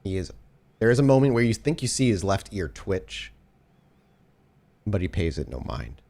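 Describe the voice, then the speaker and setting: Low-voiced male game storyteller. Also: big pitch swings, normal speed, creaky, a little mellow. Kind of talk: tabletop game narration. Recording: prominent music behind the voice.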